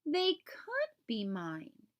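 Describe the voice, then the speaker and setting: A woman's voice: on the high side, huge pitch swings, extremely slow, heavily acted. A woman voicing a grumpy, greedy little male Easter bunny in a storybook, complaining and self-pitying. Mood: scheming.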